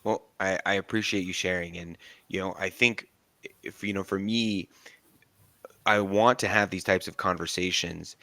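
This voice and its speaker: Brief: man, host, very low voice, measured and reflective, dry close sound. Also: steady tone, pushed and bright, no echo. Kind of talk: conversational interview.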